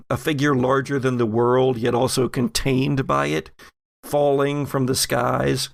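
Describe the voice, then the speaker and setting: A man with a creaky, gravelly edge to his voice runs a horror tabletop game as game master. He narrates deliberately, pausing and doubling back on words, in a dry, close sound with little room to it. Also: mid-range voice, dry recording.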